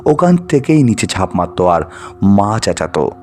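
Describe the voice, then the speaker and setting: A man narrating a scripted ghost story in an expressive, dramatized way. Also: lowish voice, moderate pace, slight background noise. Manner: expressive, acts out the dialogue of characters.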